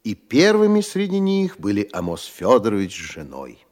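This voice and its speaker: A man's voice: fairly low pitch, huge pitch swings, moderate pace, darkish tone. A man narrating a classic comedy audio drama as a composed storyteller.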